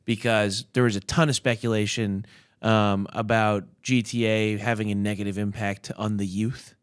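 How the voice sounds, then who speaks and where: Smooth, lowish-voiced male interviewer. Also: level tone, normal speed, dry recording, clean studio sound. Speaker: podcast interviewer. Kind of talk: casual interview questioning.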